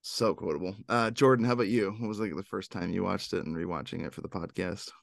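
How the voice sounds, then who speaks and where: A man's voice: sits low, fairly even intonation, quick pace, creaky, no echo, clean studio sound. A young man hosting a podcast in a casual, joking, informal conversational style. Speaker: podcast host. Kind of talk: casual chat.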